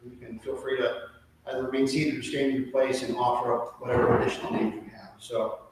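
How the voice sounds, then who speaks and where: A man's voice: mid-range voice, level tone, a little fast. A man leading a synagogue service, speaking informally and conversationally, often repeating and rephrasing as they go. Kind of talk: informal sermon and prayer leading at a live service. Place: large reverberant synagogue room during a service. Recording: very echoey hall, distant mic, faint noise.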